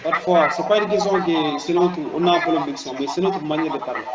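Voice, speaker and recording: A man's voice: on the high side, highs cut off, over a noisy crowd. Mauritanian-accented man, hesitant and echoey. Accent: Mauritanian French. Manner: hesitant, restarts often, fast natural pace. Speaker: public speaking student. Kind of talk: reading exercise and discussion in a class.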